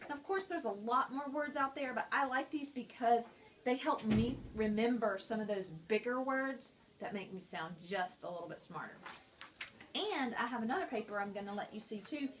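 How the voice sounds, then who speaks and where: A woman's voice: fairly high pitch, expressive, moderate pace, a little hiss or hum. A woman teacher at a school, explaining a picture-book lesson to students in a friendly, explanatory way.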